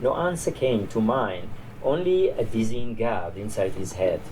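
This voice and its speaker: A man reading a literary short story aloud at a live event, measured with the odd stumble. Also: on the low side, lively intonation, a little mellow. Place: artist-run bar, live salon event. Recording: noisy live reading in a bar.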